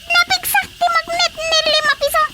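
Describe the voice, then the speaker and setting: An actor voicing a tiny, bossy creature of an underground kingdom in radio comedy, with a squeaky, childlike voice and a commanding manner. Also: flat delivery, normal speed. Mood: urgent. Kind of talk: radio comedy drama acting. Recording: loud noise behind the voice.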